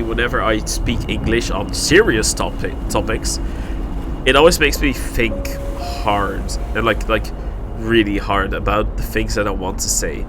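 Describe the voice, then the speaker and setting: A young Dutch man, English his second language, speaking earnestly and slowly choosing his words as he streams a game. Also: on the low side, noisy background. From Netherlands. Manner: earnest, halting, searching for words. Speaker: gaming streamer. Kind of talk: reflective let's play commentary.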